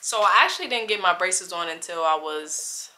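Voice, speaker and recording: A woman's voice: fairly low pitch, expressive, moderate pace, bright, forceful tone. A woman in her twenties chatting casually to her camera, rambling and stopping to correct herself. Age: 20s. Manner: rambling, loses track of the timeline and self-corrects. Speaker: vlogger. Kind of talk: casual chat.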